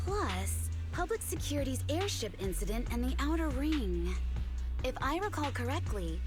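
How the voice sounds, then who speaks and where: An actress voicing a prim, smug, articulate female deputy chief, formal and precise, in an anime-style game. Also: a little high.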